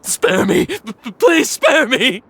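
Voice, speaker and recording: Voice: high voice, huge pitch swings, slow pace, a bit bright, husky, dry recording, exaggerated voice. An actor voicing a jumpy, frightened stranger who stammers and talks frantically. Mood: panicked. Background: faint game music.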